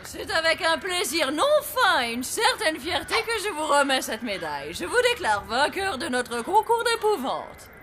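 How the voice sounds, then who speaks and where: A woman's voice: sits high, sing-song, moderate pace, few pauses, noisy background. A woman voicing a formal, gracious official with a polite, ceremonious way of talking in a cartoon. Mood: proud. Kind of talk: French animated cartoon dubbing.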